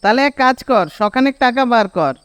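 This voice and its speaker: A man's voice: extremely high pitch, normal speed, a bit bright, clear, resonant tone, no room sound. A man with a Bengali accent voicing a bossy, scolding young village friend in a cartoon, with a nagging, exasperated manner. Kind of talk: animated cartoon dialogue.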